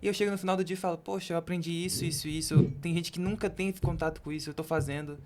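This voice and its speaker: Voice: medium pitch, steady tone, very fast, a little mellow. A person with a Brazilian accent chatting informally on a podcast. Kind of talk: casual podcast conversation.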